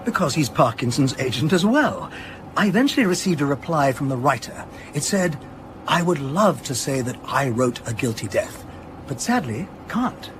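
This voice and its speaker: An actor playing a precise, dryly pedantic academic language analyst in a radio drama, speaking in a measured, lecturing way. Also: male voice, a little high, very animated, noisy background. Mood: dryly explanatory.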